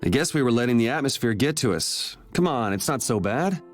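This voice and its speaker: A man's voice: mid-range voice, lively intonation, fairly quick, faint music behind, close to an everyday voice. A man playing a cool, casual young swordsman leader in a video game. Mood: cool, confident.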